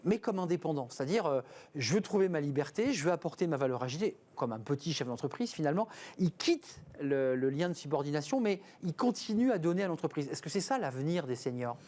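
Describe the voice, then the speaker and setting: A man's voice: a little high, lively intonation, quick pace, darkish tone. A man with a French accent from France hosting a conversational interview, probing and often chiming in with agreement. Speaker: interviewer and programme host.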